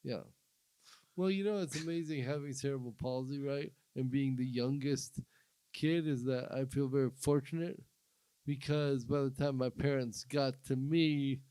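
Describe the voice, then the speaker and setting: A man hosts a casual podcast, cracking self-mocking jokes and stumbling over his words now and then. His voice sits at an ordinary middle pitch. The recording is close and dry, with no room sound. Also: fairly even intonation, dark tone, clean tone, no room sound, rough recording. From Minnesota. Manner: joking, self-mocking, halting. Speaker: comedian and podcast host. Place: studio.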